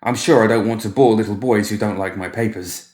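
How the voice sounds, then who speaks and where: A man's voice: fairly low pitch, steady tone, brisk, a little mellow, close to an everyday voice. Man playing a nervous, coaxing courier, roomy sound. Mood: placating. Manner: coaxing, politely careful. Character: nervous newspaper courier, a man placating a child.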